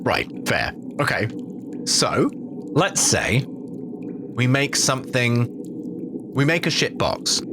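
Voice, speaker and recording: A man playing a tabletop game, brainstorming a plan in a casual, halting, improvised way. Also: mid-range voice, sing-song. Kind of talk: casual improvised roleplay planning. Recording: clear background ambient noise.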